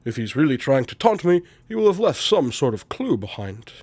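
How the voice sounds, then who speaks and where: An actor playing a shrewd, confident male detective in a radio drama, speaking in a measured, deliberate and dryly condescending way. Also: medium pitch, very animated, darkish tone, slightly rough, dry recording.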